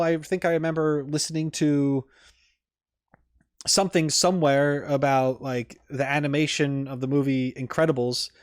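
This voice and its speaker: A man giving casual, off-the-cuff commentary as a reaction streamer, rambling through his thoughts with many asides. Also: mid-range voice. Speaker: reaction video host and streamer.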